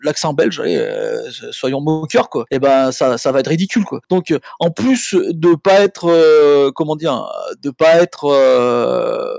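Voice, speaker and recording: Gravelly, fairly high-voiced man, halting and opinionated. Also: darkish tone, no echo, clean studio sound. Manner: halting, peppered with colloquial tags. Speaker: panelist on a role-playing games show. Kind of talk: casual opinionated discussion.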